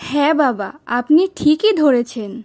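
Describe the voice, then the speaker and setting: A woman performs a dutiful young housewife in a village cartoon. Her voice is very high for a woman. She speaks in a plain, homely manner as a daughter-in-law of the house. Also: expressive, moderate pace, a little mellow. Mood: respectful, obliging. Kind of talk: Bengali moral-story cartoon dubbing.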